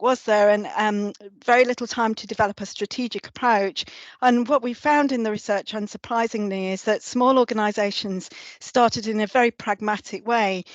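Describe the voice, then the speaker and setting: A woman hosting a webinar as senior lecturer, her voice slightly gruff from flu, explaining steadily with frequent pauses. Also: on the high side, no echo, lo-fi sound. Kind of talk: presenting a webinar.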